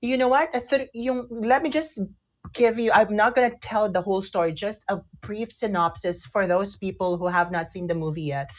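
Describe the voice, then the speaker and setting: A woman's voice: medium pitch, moderate pace. A woman with a Filipino accent co-hosting casual online radio chat, often repeating words as she goes. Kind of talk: casual radio chat, mixing English and Tagalog.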